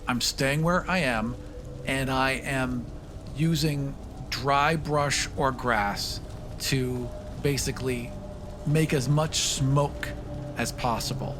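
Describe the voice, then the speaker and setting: A man narrating his tabletop game moves as a player, casual and vividly descriptive. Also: medium pitch. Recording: music behind the voice. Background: music.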